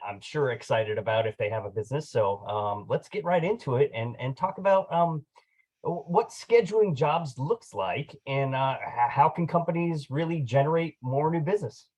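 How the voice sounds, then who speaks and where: A man's voice: medium pitch, animated, moderate pace, a little mellow, rough recording. A man hosting a casual interview, chatty and upbeat, with many filler words. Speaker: interview host.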